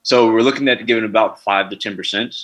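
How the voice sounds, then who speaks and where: Male founder, lowish voice, halting Q&A answers full of fillers. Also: steady tone, muffled recording. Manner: halting, many fillers and restarts. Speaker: startup founder pitching. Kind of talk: answering investor questions.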